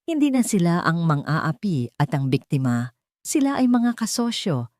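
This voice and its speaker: Low-voiced woman narrating, dry close sound. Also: big pitch swings, normal speed, a little mellow, dry recording. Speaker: audiobook narrator. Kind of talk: scripted dramatic story narration.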